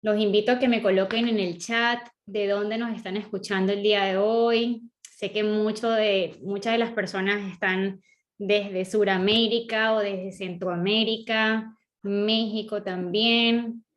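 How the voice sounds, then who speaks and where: A woman from southern Venezuela, with a Venezuelan accent that sounds a bit Colombian, hosting an online webinar and greeting attendees warmly by name. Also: medium pitch, fairly even intonation, a little slow, lo-fi sound. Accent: Venezuelan, slightly Colombian-sounding. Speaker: webinar host. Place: online video call.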